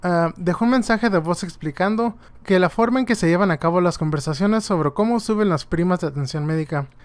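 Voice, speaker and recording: Fan dubber, man, Latin American Spanish accent, quick smooth delivery. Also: high voice, no echo. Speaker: viewer dubbing a show clip. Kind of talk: amateur voice dub of a political talk show.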